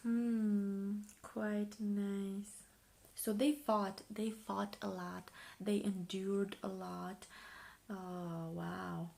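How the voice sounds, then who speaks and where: A woman from Romania with a Romanian accent, speaking softly in an ASMR style and often slipping into a whisper, musing and repeating short phrases. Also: medium pitch, little pitch movement, extremely slow, creaky. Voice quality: soft, often breaking into whispers. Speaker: ASMR video creator reviewing a magazine. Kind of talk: soft-spoken ASMR.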